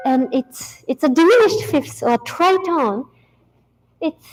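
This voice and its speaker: A woman with a Japanese accent giving a prepared talk in a calm, measured way. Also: high voice, slight background noise, low-quality recording.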